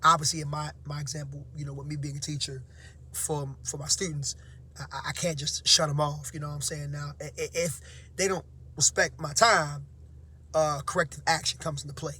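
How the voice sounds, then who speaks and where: A man who teaches, giving casual motivational advice to his viewers, slightly hoarse and half-awake, with frequent fillers and tags like "right?". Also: fairly high pitch, level tone, a little fast, pushed and bright. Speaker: teacher and vlog host. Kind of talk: casual motivational talk to camera.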